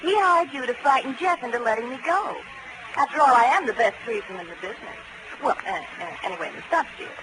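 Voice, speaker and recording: An actress playing a flirtatious, breezily amoral carnival daredevil with an airy, teasing manner in a 1940s radio crime drama. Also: female voice, fairly high pitch, expressive, fast. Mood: defensive, breezy. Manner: coy, airy, nonchalant, teasing. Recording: noisy old 1940s radio broadcast.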